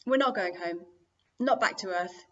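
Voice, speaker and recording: A woman's voice: medium pitch, normal speed, fairly bright tone, natural voice. An earnest young boy read by a woman speaks as a storybook hero. He sounds frightened and apologetic, with a hesitant manner. The audio is slightly dull. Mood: resolved. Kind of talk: audiobook character dialogue.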